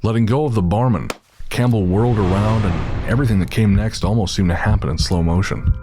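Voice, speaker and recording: Low-voiced man narrating a dramatic story. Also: a little fast, background noise. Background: music.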